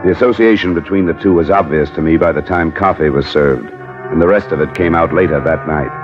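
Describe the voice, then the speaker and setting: An actor playing a cool, probing American insurance investigator in an old-time radio drama, clipped and matter-of-fact. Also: male voice, a bass voice, fairly quick. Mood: dry narration. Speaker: lead actor and narrator. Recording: muffled vintage radio, music behind.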